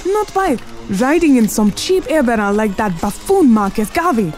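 A woman playing a proud, sharp-tongued immigrant businesswoman with a commanding, haughty manner in a period radio drama. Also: a little high, sing-song, normal speed, faint music behind. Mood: proud, defiant. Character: imperious, proud immigrant Harlem numbers queen, sharp-tongued.

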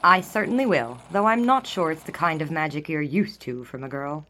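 An American woman playing a brisk, unimpressed 1920s newspaper office worker with a dry, sarcastic manner on the telephone. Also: sits low, animated, bright, forceful tone, slight background noise. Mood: cool. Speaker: actress in an audio drama.

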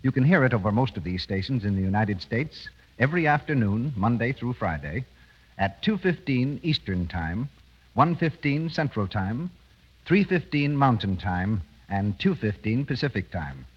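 A man's voice: mid-range voice, very animated, normal speed, breathy edge, in a large hall. A man working as a radio announcer, reading a script in 1930s broadcast style on an old muffled recording.

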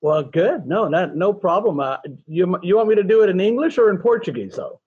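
A talkative man in his sixties, chatting as a guest with a self-deprecating, rambling manner. His voice sits a little high for a man, in a dry room with no echo. The recording sounds dull and lo-fi. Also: lively intonation, a little fast, slightly rough. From California, USA. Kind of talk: casual interview chat.

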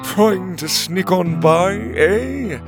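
A man voicing a giant, vain male chicken chief who fusses over clothes, speaking in a drawn-out, haughty way. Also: high-pitched, animated, over music, pitched above the performer's own voice, full character voice. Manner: drawn-out, haughty, slow and swooping. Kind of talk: storybook character voice.

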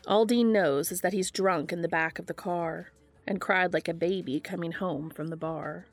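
A woman hosting a podcast, reading fan fiction aloud in a steady, even storytelling manner. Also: fairly low pitch, normal speed, faint music behind. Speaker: podcast host and reader.

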